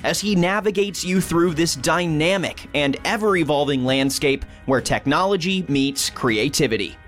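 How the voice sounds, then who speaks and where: A male announcer with a fairly high-pitched voice reads a scripted podcast introduction. Guitar music plays behind his promotional narration. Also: animated, normal speed, a bit bright.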